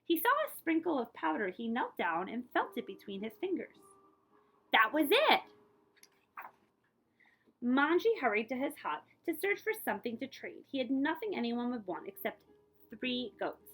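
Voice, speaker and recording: Lady librarian reading a kids book out loud, really animated, punches the key words, explains bits as she goes. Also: high voice, moderate pace, husky, low-quality recording. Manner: dramatic emphasis on key words and sound effects. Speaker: school librarian reading a picture book aloud. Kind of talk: expressive children's read-aloud with explanatory asides.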